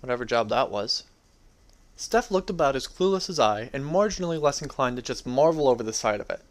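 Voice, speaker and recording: A man's voice: mid-range voice, expressive, fairly quick. A young adult man giving a dramatic reading of his own first-person story.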